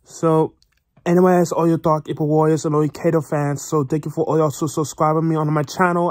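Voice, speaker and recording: A channel host giving casual reaction commentary in a halting way, repeating words while searching for phrasing. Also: mid-range voice, a little mellow, dry recording, clean studio sound.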